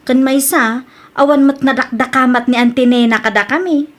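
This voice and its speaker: A woman's voice: sits high, fairly even intonation, moderate pace, close to an everyday voice. A woman with an Ilocano Filipino accent playing a chatty young daughter and friend in a radio comedy drama. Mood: confiding, sympathetic.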